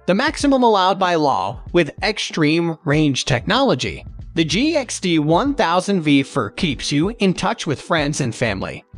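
A man with a high-pitched voice reads a scripted product review. His intonation moves up and down in a lively way, though the delivery is stiff and read out. Music plays behind him. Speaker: narrator of product review videos.

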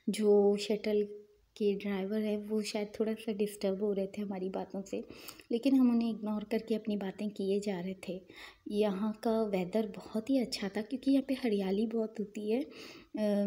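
A young woman narrating her day in a chatty, unhurried voice-over, with small fillers and pious asides. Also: mid-range voice, dark tone. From Pakistan. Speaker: vlogger.

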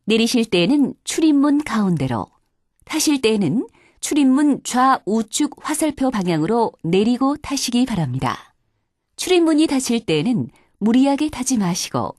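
High, lilting female subway announcer. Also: a little mellow, no room sound, studio quality. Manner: polite, carefully enunciated. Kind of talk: formal scripted transit announcement.

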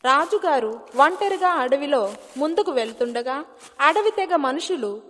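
A woman's voice: high-pitched, animated, unhurried, a bit bright. A woman giving scripted narration of a moral story as its narrator. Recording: long hall-like reverb, music behind.

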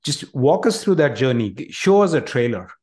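A man in his forties hosts a relaxed, conversational interview. His voice sits at an average pitch, recorded indoors on a video call. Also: normal speed. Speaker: interview host.

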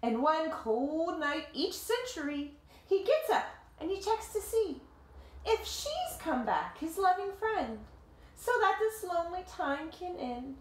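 A woman in her thirties reading a picture book aloud to children like a teacher, with dramatic, deliberate phrasing and simple explanations, recorded in a living room. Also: very high-pitched, very animated, a little hiss or hum. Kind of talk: expressive storybook read-aloud with explanatory asides. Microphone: camera or phone a short distance away. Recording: roomy home echo.

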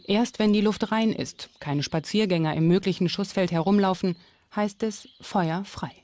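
A woman's voice: mid-range voice, normal speed, darkish tone, slight background noise. A woman reading scripted documentary narration.

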